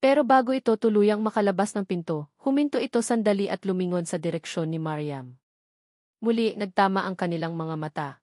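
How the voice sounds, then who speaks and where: A woman's voice: mid-range voice, fairly quick, darkish tone, dry recording. Filipino woman narrating a romance, dry close sound. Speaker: storyteller. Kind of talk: scripted narration of a romance story.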